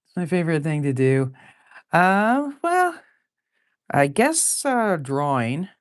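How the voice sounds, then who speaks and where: A woman in her forties with a low, deep voice, chatting casually while drawing live. She rambles, laughs often, and fills pauses with plenty of ums and uhs. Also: sing-song, dry recording, clean studio sound. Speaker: artist drawing live on stream.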